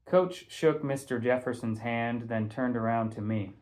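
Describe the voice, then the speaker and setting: A man narrating a first-person audiobook in a conversational, expressive storytelling manner. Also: mid-range voice, measured pace. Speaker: teacher reading a novel aloud.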